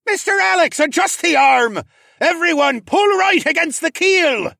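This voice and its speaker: A wild raccoon sea captain, acted out by a male narrator in a fantasy audiobook. The voice is pushed high and forceful, bellowing orders with urgent authority. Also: expressive, unhurried, creaky, no room sound, studio quality, full character voice. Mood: urgent, commanding. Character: commanding, wild old-salt raccoon pirate captain.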